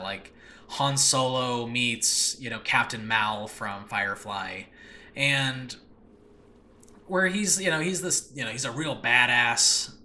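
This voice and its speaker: A man speaks casually and enthusiastically as the host of his own show. His voice is bright and forceful at an ordinary male pitch, and he rambles, often pausing to find the right word. The recording is a makeshift home one with faint noise behind him. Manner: rambling, hesitant, often searching for words. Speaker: podcast host and audio drama creator. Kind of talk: casual solo talk previewing own show.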